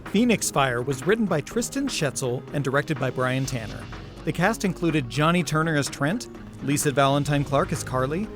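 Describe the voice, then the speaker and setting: A man announcer reading scripted previews and credits. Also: on the high side.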